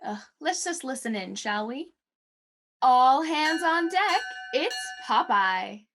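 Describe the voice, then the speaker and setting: A woman's voice: sits high, lively intonation, takes it slowly. A woman co-hosting a radio variety show, theatrical and playful, in scripted 1940s announcing style.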